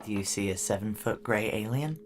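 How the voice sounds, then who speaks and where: An actress playing a tough, wary female security chief in a noir science fiction audio drama, speaking in a clipped, deadpan, commanding way. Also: very deep voice, a little fast. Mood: dry, guarded.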